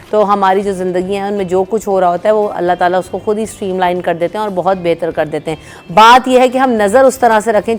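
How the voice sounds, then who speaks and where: A woman hosting a TV morning show, telling a story animatedly and chatting casually with guests. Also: mid-range voice. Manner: animated storyteller, acts out shouting characters. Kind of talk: storytelling and casual studio chat. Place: TV studio.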